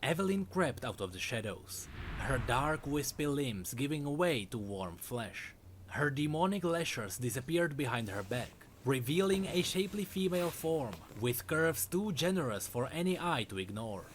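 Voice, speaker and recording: A man's voice: mid-range voice, big pitch swings, normal speed, a little hiss or hum. A man narrating a dramatic scripted story as a storyteller.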